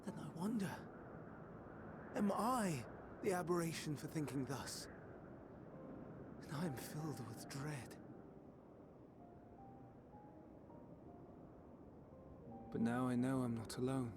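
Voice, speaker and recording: An actor playing a melancholy, idealistic scholar in a video game, speaking in measured, formal, archaic phrases. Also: male voice, fairly high pitch, noisy background, close to an everyday voice. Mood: brooding, troubled. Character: soft-spoken melancholy male scholar, idealistic, quietly tormented. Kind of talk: dramatic video game voice acting.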